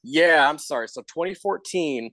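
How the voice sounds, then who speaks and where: A man's voice: fairly high pitch, animated, normal speed, a bit bright, dry recording, clean studio sound. A man hosting a casual interview, informal and encouraging as he talks. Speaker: show host, interviewer.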